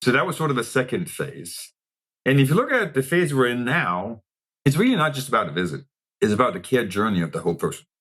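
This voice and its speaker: A man with a Danish accent, explaining things in a measured interview style as a guest, often posing rhetorical questions. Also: medium pitch, big pitch swings, fairly bright tone, slightly rough. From Denmark. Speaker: interview guest, technology executive.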